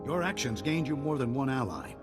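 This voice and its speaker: An actor playing a serious military officer in a video game, giving briefings in a clipped, authoritative way. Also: male voice, mid-range voice, sing-song, a little fast, natural voice. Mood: serious, commanding. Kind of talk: scripted video game acting. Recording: game cutscene with music underneath.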